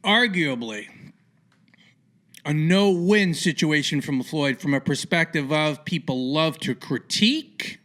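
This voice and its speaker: A man's voice: on the high side, animated, slow pace, fairly bright tone. A man hosting a sports podcast, asking rambling, opinionated questions in an informal interview style. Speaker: podcast host interviewing a guest.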